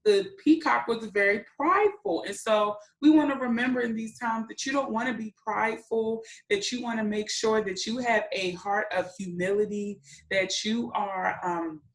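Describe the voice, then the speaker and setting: A woman's voice: a little high, moderate pace, few pauses. A woman hosting a children's storytime, reading and explaining words simply in an encouraging way to young listeners. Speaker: children's read-aloud host and teacher. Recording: echoey room.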